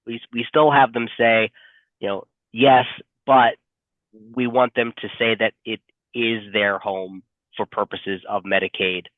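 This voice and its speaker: A man with a stuffy head cold explains legal points in a halting way, full of ums and restarts. His voice comes through a tinny, phone-like channel. The sound is dry, with no room echo at all. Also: lowish voice, flat delivery. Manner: hesitant, many fillers and restarts. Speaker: attorney. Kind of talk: explanatory webinar presentation.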